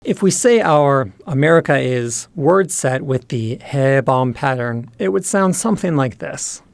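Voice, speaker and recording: A man narrates an instructional lesson in a measured, explanatory manner. His voice sits at a middle pitch and is recorded very dry, with no room sound. Key terms are set out with careful enunciation. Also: expressive, vocal fry, dry recording. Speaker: teacher presenting a language lesson.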